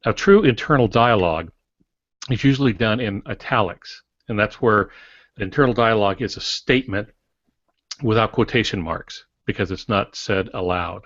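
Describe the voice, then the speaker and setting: A man co-hosting a podcast, teaching conversationally in a measured, instructive manner. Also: fairly low pitch, fairly bright tone. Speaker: podcast co-host. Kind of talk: conversational teaching on fiction writing. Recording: roomy, slightly muffled podcast recording.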